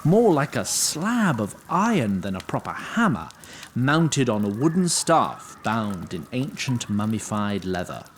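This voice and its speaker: A man narrating a fantasy audio drama in a measured, literary storytelling manner with wry touches. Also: mid-range voice, very animated, slight background noise.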